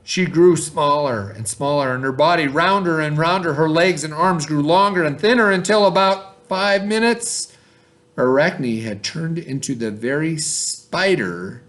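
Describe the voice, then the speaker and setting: A male teacher with a moderately high voice reads and explains for children. His delivery is informal and direct, in the manner of classroom storytelling and review. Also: animated, a little slow, fairly bright tone. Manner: informal, questioning, talks directly to pupils. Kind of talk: children's read-aloud and lesson.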